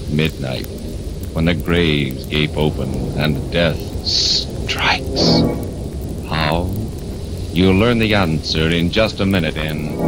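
A man narrating a 1940s radio horror show in a theatrical, ominous, measured announcer's style on a vintage recording. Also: extremely low pitch. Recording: vintage 1940s radio, loud organ-era music.

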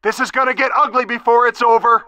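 A man's voice: extremely high pitch, steady tone, fast talker, pushed and bright, clean tone. An actor playing an alert male base announcer in a video game, calling out battle warnings in an urgent, clipped manner.

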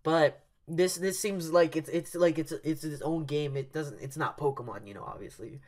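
A young man hosting a casual game-trailer reaction from a dark bedroom, rambling with lots of fillers and restarts and breaking into loud excited outbursts. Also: on the high side, moderate pace. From Cuban heritage. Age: young adult. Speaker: YouTube reaction host. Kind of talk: excited live reaction chat. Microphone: headset, webcam at desk.

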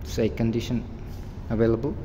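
A man's voice: medium pitch, level tone, moderate pace, mellow, a little hiss or hum. A man working as a real estate agent, walking through an empty warehouse and pointing out its features in a plain, repetitive way, recorded on a handheld phone. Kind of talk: walkthrough property tour. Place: large empty indoor warehouse. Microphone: phone held by the filming person.

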